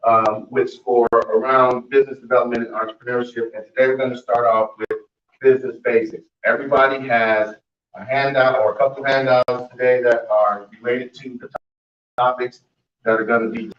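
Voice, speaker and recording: Man, meeting host handing over to a speaker, halting delivery, far-off mic in a roomy space. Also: mid-range voice, level tone. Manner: hesitant, with repeated words. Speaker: community organization host. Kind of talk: introducing a speaker. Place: meeting room. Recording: dull, echoey room audio from far off.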